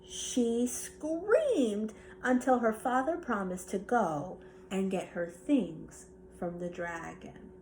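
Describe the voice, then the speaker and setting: A woman reading a children's bedtime story aloud with expressive narration. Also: mid-range voice, slow pace, few pauses, slight background noise, rough recording. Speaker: storybook reader.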